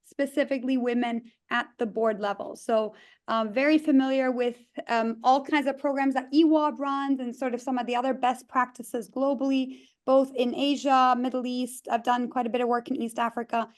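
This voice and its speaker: A woman's voice: high voice, steady tone, moderate pace. A woman from the Netherlands, a leadership consultant, introducing herself on a webinar over a video call. She is friendly and chatty, with frequent fillers.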